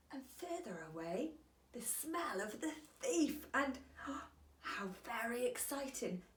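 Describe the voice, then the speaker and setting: Lady reading a kids bedtime story, slowish and super animated, bit echoey. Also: sits high. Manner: deliberate, animated storytelling for young children. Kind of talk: expressive children's storybook read-aloud.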